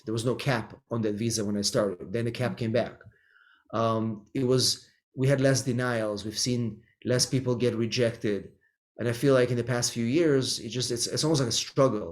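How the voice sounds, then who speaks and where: Israeli-born man answering at a measured pace. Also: mid-range voice, a little mellow. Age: middle-aged. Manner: thoughtful, with frequent ums and restarts. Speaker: immigration attorney, guest. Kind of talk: conversational interview answers.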